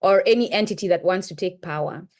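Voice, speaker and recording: A woman's voice: quick pace, darkish tone. Passionate Kenyan woman lecturing. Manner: emphatic, rising pitch on key points, frequent "right?". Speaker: podcast co-host presenting. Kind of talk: impassioned lecture-style talk.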